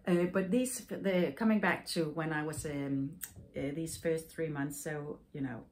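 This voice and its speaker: A woman in her forties from Denmark, a native Danish speaker, hosting a knitting podcast and telling a personal story in a thoughtful, halting way with many fillers. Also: low-pitched, steady tone, darkish tone, a little hiss or hum. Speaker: podcast host. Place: home room with yarn shelves, indoors.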